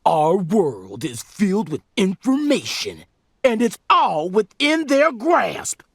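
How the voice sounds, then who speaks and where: A man's voice: high-pitched, huge pitch swings, slow, vocal fry, fairly bright tone, breathy edge, no room sound, studio quality, exaggerated voice. A man playing a folksy, jovial small-town sheriff in a video game, with a rambling Southern US drawl. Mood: jovial, yielding.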